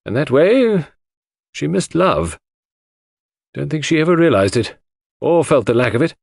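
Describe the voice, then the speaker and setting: A man plays a gruff, loyal Englishwoman in an audiobook, indignant and outspoken. The voice sits high and swings widely in pitch. Sentences come short, blunt and clipped, in a dry close recording. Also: sing-song, measured pace, no room sound, full character voice. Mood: bitter, defensive. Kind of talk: audiobook character voice.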